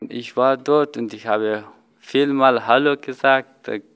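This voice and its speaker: A non-native speaking man giving a personal account in halting, simple broken phrases. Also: mid-range voice, steady tone, a bit bright, smooth. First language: not German.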